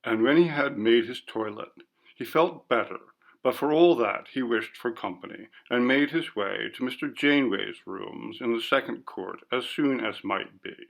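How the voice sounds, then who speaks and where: A man narrating a classic ghost story in a measured, literary storytelling manner. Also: mid-range voice, vocal fry. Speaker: audiobook narrator.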